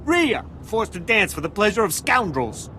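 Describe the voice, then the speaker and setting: A man's voice: high-pitched, sing-song, moderate pace, sharp tone, a little hiss or hum, heavily acted. A man playing an aggrieved, impassioned alien citizen in a video game, with formal wording. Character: desperate, indignant male alien pleading for his mate. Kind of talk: video game dialogue acting.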